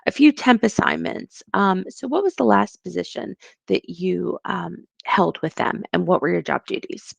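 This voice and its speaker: Gravelly-voiced woman interviewer. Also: fairly low pitch, expressive, moderate pace, dry recording. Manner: polite, encouraging, many fillers. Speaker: job interviewer. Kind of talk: friendly interview questions. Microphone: video call.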